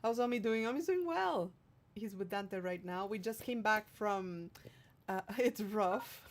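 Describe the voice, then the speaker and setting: A woman's voice: mid-range voice, lively intonation, a little slow, a little hiss or hum. A woman hosting a livestream, reading out viewer comments and chatting casually in a playful, affectionate way. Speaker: streamer hosting a livestream, singer-songwriter.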